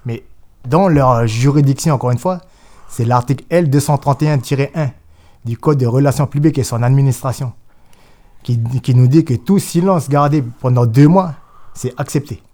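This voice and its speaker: A man's voice: medium pitch, moderate pace, mellow. A man, a jurist speaking as an interview guest in an emphatic, insistent way, repeating points and mimicking opponents. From New Caledonia. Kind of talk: assertive political interview talk.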